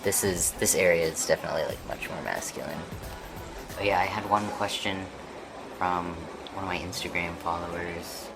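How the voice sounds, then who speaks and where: A young man chatting casually to his camera at home, keeping his voice hushed and half-whispered, with music playing behind. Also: low voice, steady tone, moderate pace, gravelly, poor audio. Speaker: vlogger. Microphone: camera mic on a shaky setup.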